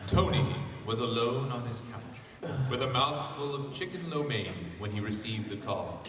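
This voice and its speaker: A man's voice: medium pitch, moderate pace. A man co-hosting a live panel, talking casually and reading aloud to the audience. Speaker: panel co-host and narrator. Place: large echoey convention panel room. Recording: very echoey hall, far mic, crowd noise.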